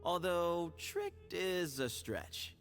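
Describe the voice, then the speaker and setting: A man's voice: high voice, huge pitch swings, very slow, fairly bright tone, soft background music, full character voice. An actor playing a sly, easygoing young desert man in a video game, teasing and nonchalant as he dodges questions. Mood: casual, explaining.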